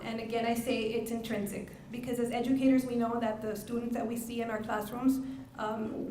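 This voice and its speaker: In a very echoey room, somewhat far from the mic, a middle-aged woman gives an earnest testimonial speech. She speaks as a parent and educator, stressing her points and pausing mid-phrase. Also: fairly high pitch, gravelly, a little mellow. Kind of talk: public speech at a press conference. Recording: very echoey room, distant mic, faint noise.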